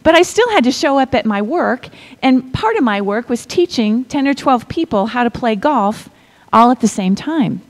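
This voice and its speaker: A middle-aged woman preaching a sermon, reading scripture in a measured way and dropping to a whisper for effect. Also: a little high, lively intonation. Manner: slow, deliberate delivery with pauses and soft whispered stretches; asks the congregation to repeat lines.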